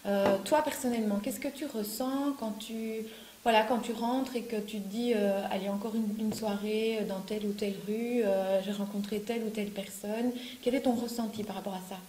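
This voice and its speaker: A woman interviewer puts informal questions to a candidate, using filler words along the way. Her voice is mellow and mid-pitched. The room is echoey, with a faint hiss underneath. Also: steady tone, moderate pace. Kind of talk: campaign interview.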